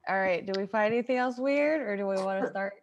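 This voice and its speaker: A woman podcast host in a casual group chat, talking in a giggly, animated way with some casual swearing. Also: medium pitch, fast talker, lo-fi sound, a little hiss or hum.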